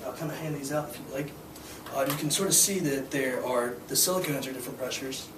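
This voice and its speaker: A hoarse young man teaching a scuba class, explaining gear and skills in a casual, filler-heavy way. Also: mid-range voice, steady tone, a little fast. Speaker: scuba instructor. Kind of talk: hands-on demonstration to a class. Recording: echoey room, distant mic, noisy.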